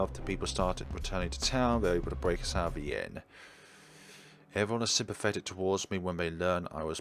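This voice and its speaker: A man doing let's play commentary, reading game narration aloud theatrically with wry asides. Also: low-pitched. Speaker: game streamer. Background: game music.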